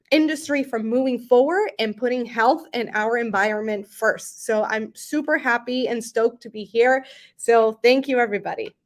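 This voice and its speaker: A woman from Puerto Rico, a chapter director for an environmental group, speaking with upbeat energy from a city office to a virtual rally. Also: on the high side. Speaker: Sierra Club chapter director.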